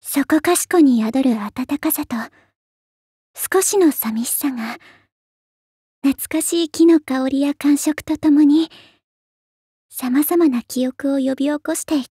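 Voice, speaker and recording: A woman playing a gentle, refined, sentimental young lady idol in an anime voice drama, speaking softly and politely. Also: high voice, unhurried, pauses often, no room sound, studio quality. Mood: wistful, tender. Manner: soft, polite, ladylike, wistful. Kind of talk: anime game voice drama, narration and dialogue.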